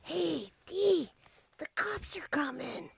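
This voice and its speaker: A woman's voice: high-pitched, lively intonation, slow, gravelly, exaggerated voice. A woman playing a scrappy, streetwise young girl in a radio detective drama, bossy and slangy. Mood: urgent, hushed.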